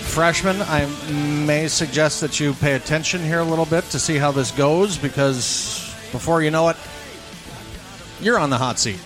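A man hosting a live radio broadcast from a banquet event, emceeing and asking players questions in a brisk, chatty, joking way. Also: on the high side, music in the background. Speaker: radio play-by-play host.

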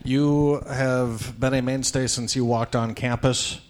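Man, radio host, live event emcee, chatty, joking, middle pitch. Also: steady tone. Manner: brisk, chatty, joking questions. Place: banquet event hall.

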